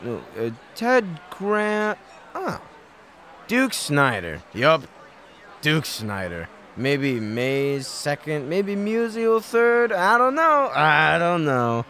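Man acting a slow, swooping drunken barfly. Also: a little high, a bit bright, a little hiss or hum, exaggerated voice. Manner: hesitant, repetitive, emphatic. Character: drunken, rambling male barfly baseball fan. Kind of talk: radio comedy play acting.

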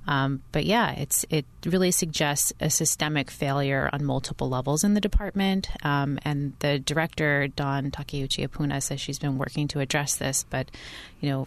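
A woman reports the news as an interview guest. Her voice sits very low for a woman. She explains in a conversational way, pausing often to hesitate.

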